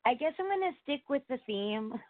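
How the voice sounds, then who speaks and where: A woman's voice: high-pitched, expressive, moderate pace, no echo. Effusive woman caller in her forties on the phone. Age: 40s. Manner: warm, effusive, rambling, says she has a young voice. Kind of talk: call-in conversation. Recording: tinny phone line, dry.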